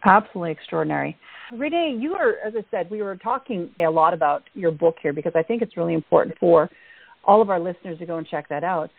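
A lowish, husky woman hosts the interview and praises her guest effusively. The sound is dry and thin, as if over a phone line. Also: expressive, fast, no echo. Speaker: podcast host. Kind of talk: interview hosting.

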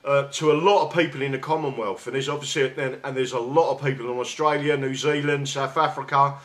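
Hesitant South London radio host. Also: male voice, medium pitch, steady tone, brisk, a bit bright. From England. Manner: hesitant, with many restarts and stammers. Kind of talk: casual interview chat. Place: radio studio. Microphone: studio mic with big headphones.

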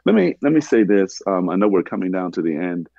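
Male host, very low dark voice, dry muffled sound, earnest church panel moderator. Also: big pitch swings, a little fast, vocal fry, no echo. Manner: earnest, asks long rambling questions.

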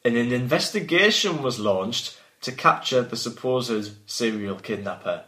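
A man's voice: mid-range voice, expressive, measured pace, pushed and bright. Man reading his own story in a roomy echo. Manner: breaks off from reading to banter. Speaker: podcast host and author reading own work. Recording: roomy echoing sound.

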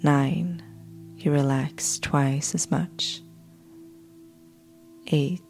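A low-voiced woman leads a guided sleep meditation as a podcast host. She speaks very slowly and soothingly, with a creaky edge and soft, breathy phrase endings. Music plays behind her. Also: steady tone. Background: background music.

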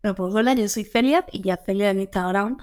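A woman from Andalusia, a comic author, chatting as a guest on a radio show in a lively, joking way. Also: mid-range voice, a little fast. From Andalusia, Spain. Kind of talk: casual radio interview.

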